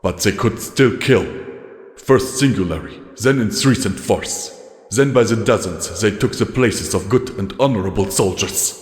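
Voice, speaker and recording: A man voicing a weary, grim old zebra commander with a measured delivery. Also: on the low side, reverberant, in a large hall, natural voice.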